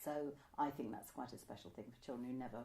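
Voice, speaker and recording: A woman's voice: extremely low pitch, fast talker, creaky, warm tone. An older woman, reminiscing casually in an interview in a chatty, self-correcting way. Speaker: interviewee, amateur pantomime writer. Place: indoor room by a window, seated.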